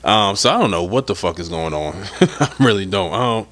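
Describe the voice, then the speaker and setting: Young man, podcast host, rambling, profane, stuffy-nosed, lowish voice. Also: animated, moderate pace, a bit bright. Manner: rambling, profane, laid-back. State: stuffy nose from a head cold. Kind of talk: casual solo podcast talk.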